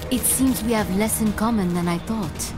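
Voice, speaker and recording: A woman's voice: mid-range voice, natural voice. A woman playing a proud, taunting female mercenary warrior with a French accent in a video game. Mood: cool taunting. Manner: theatrical, deliberate, taunting. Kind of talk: dramatic video game acting. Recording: voice under loud music and battle noise.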